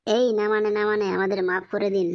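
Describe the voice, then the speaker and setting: Woman doing a cheeky whiny young conman, talks fast, dull cartoon audio. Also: medium pitch, level tone, no room sound, natural voice. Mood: pleading, nervous. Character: hapless young male swindler, pleading and cheeky. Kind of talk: comedy cartoon dialogue. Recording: dull lo-fi, very dry cartoon dub.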